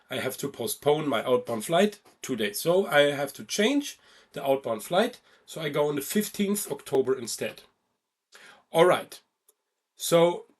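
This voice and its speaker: Higher-voiced man narrating a software tutorial. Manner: informal step-by-step explaining with check-in questions. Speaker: travel ticketing instructor.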